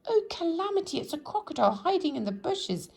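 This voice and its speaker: A woman's voice: completely flat delivery, extremely slow, gravelly, dark tone, exaggerated voice. A woman voicing a timid, jittery little man in a children's storybook who shrieks and stammers when frightened. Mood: terrified. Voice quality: shrieking, high and squeaky. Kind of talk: storybook read-aloud.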